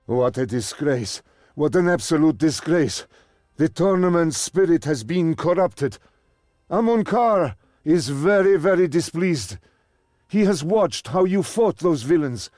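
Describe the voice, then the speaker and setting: An actor playing a stern, commanding old mentor in a game cutscene, with theatrical delivery. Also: male voice, a little high, animated, unhurried, darkish tone, no room sound, studio quality. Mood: indignant. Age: older. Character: stern old male mentor, servant of a master. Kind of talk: scripted game cutscene acting.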